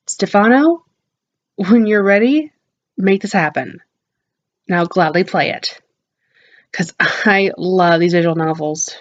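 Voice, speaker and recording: A woman hosting a casual visual novel playthrough, talking informally and enthusiastically with slangy, drawn-out emphasis. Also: mid-range voice, a little mellow. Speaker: gaming channel host. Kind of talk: casual visual novel playthrough commentary, reading text aloud. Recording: dull lo-fi mic, music behind. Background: game music.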